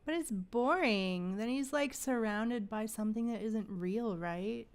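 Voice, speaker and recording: A woman's voice: fairly high pitch, a little slow. A woman livestreaming a game, making casual, playful asides as she plays. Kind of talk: casual live game commentary.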